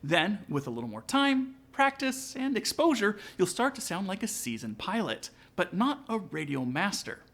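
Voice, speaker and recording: A man with a fairly high, animated voice presents a scripted tutorial. He talks casually and directly to his audience, like a vlog host, with plenty of melodic movement in his delivery. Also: normal speed, few pauses. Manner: casual, direct address to viewers. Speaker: aviation vlog host, student pilot. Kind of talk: upbeat scripted tutorial narration with sponsor read.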